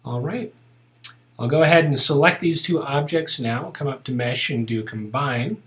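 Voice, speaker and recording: A man explaining a 3D modeling task step by step in a relaxed, methodical tutorial voice. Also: medium pitch, normal speed. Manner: relaxed, methodical, narrating each step as he works. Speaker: software tutorial instructor. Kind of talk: screen-recorded software tutorial narration.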